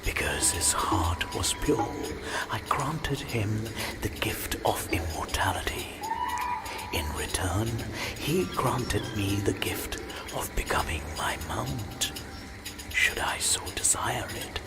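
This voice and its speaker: An ancient, serene god tells myths for a video game. The voice is a slow, breathy whisper that rises and falls expressively, solemn and measured, over loud music. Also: male voice, on the low side, animated. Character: ancient serene male god narrating mythic tales. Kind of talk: scripted mythic narration in a video game.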